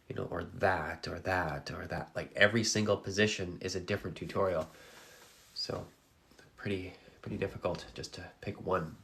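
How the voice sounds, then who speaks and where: Congested guy with a deep gravelly voice, chatting to viewers while he paints, lots of ums. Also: a little hiss or hum. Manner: soft-spoken, halting, many fillers. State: congested with a cold. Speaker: painting instructor. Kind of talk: relaxed live-stream chat while painting. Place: art studio, indoors.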